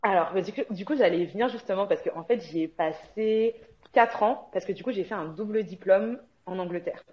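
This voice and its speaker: A woman's voice: mid-range voice, brisk. A woman from Guadeloupe, an interview guest, talking casually with many hesitations and bursts of laughter. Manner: chatty, frequent hesitations and laughter. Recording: dull lo-fi sound.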